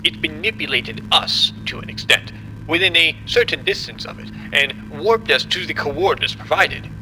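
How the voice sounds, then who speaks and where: A man playing a formal, measured scientist who explains a disaster in an audio drama. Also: on the high side, expressive, noisy background, natural voice. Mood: grave, deliberate. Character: apologetic, condescending male scientist explaining a disaster.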